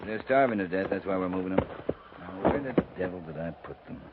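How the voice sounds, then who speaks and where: An actor playing a gruff, absent-minded frontier cavalry captain in a muttering 1950s radio western style. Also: male voice, deep voice, animated, quick pace. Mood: preoccupied. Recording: noisy, tinny 1950s radio recording.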